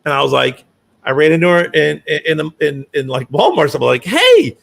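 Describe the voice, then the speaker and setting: Man with a lively, swinging voice. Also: fairly high pitch, normal speed, creaky. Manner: lively anecdotes with frequent restarts. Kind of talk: casual chat.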